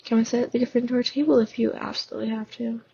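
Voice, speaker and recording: A woman with a sore, hoarse throat reading the lines of a stern, bossy fifteen-year-old girl in a fan audiobook. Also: fairly high pitch, little pitch movement, fast talker, dark tone, lo-fi sound, slight background noise. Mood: stern, snappish.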